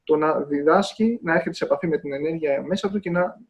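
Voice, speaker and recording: A man serves as translator, speaking in a fairly high voice. He talks in a measured way and often pauses with hesitation fillers. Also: dull audio. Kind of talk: live interpreting into Greek.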